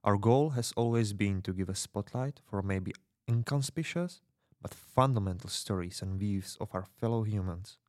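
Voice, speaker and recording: A man's voice: on the low side, moderate pace, a little mellow, no echo, clean studio sound, natural voice. A man playing an earnest rebel radio host in a dystopian drama, speaking solemnly and rallying the listener in a scripted, intimate address. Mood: earnest, welcoming.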